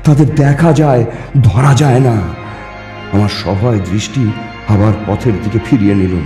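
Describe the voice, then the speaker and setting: A male storyteller narrates a ghost story in a medium-pitched voice. His delivery is theatrical and suspenseful, with deliberate pauses and repeated phrases. The sound carries a strong echo, with music underneath. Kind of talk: dramatic first-person ghost story reading. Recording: echoing reverb with music underneath. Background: background music.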